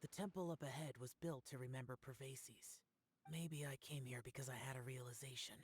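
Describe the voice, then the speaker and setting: An actor playing a solemn, youthful-sounding ancient warrior spirit in a video game, grave, measured and reserved. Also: male voice, medium pitch, fairly even intonation, a little hiss or hum, close to an everyday voice. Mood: somber, brooding. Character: solemn ancient yaksha warrior, youthful male, brooding and stoic.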